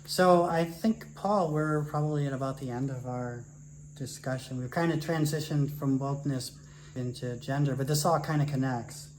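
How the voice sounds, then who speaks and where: A man's voice: mid-range voice, moderate pace, slight background noise, rough recording. An older American man and co-host, agreeing briefly and then wrapping up the discussion. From United States. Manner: agreeable, short backchannels, wraps up the show. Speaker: retired academic, co-host. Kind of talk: casual discussion and sign-off.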